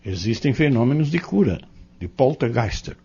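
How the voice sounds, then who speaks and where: A Brazilian man acting in an audio novel as a patient, earnest Spiritist teacher, explaining doctrine in measured, emphatic phrases. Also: medium pitch, sing-song, dark tone, breathy edge, exaggerated voice. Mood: earnest, instructive. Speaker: Spiritist instructor in an audio drama. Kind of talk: didactic audio-novel dialogue. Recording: dull, lo-fi audio.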